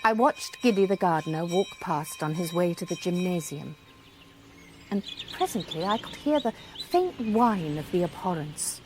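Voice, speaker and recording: An actress playing an observant, self-deprecating woman who narrates a radio drama in a reflective, expressive way. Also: on the low side, normal speed, music in the background, natural voice. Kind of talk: first-person radio drama narration and dialogue.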